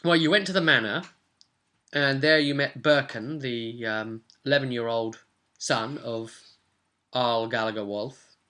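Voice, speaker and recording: Bright-voiced young British man. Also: medium pitch, unhurried. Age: young adult. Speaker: game master, co-host. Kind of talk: casual recap of a tabletop role-playing game. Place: small cluttered room at home.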